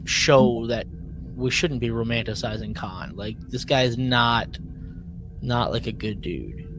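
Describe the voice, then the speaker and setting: A man talks casually as a podcast co-host, recapping in loose, conversational fashion. He starts phrases, repeats them and rewords as he goes. His voice sits at an average male pitch, with some noise behind it. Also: unhurried.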